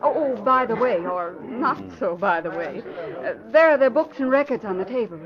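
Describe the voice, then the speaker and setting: An actress plays a spirited young woman photographer in a vintage radio crime drama. Her voice is high and theatrical, with a wry edge. The sound is dull and old, with voices crowding behind her. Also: lively intonation, moderate pace, a crowd in the background, close to an everyday voice. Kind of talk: 1940s radio acting.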